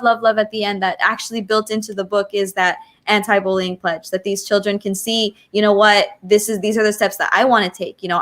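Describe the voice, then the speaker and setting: High-voiced, effusive woman hosting a panel. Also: fairly bright tone. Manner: effusive, gushing praise. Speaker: host of an online author panel. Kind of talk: casual livestream panel hosting. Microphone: video call.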